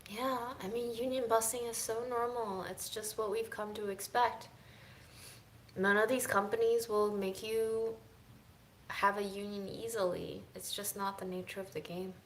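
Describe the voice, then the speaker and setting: Slow, musing woman vlogger. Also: mid-range voice, steady tone, roomy sound, a little hiss or hum. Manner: slow, musing, drifting between soft and emphatic. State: fatigued. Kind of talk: casual reflective chat to camera.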